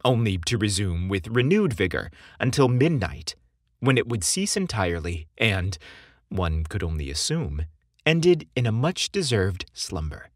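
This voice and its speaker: A man hosting and narrating a scripted story podcast in a theatrical, expressive storytelling style. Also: on the low side, unhurried, no echo, clean studio sound. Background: music behind the voice.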